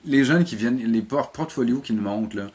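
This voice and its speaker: A mature man with a Québécois French accent, speaking informally and haltingly as a guest in a casual interview. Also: mid-range voice, noisy background. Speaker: interview guest, animation teacher and program coordinator.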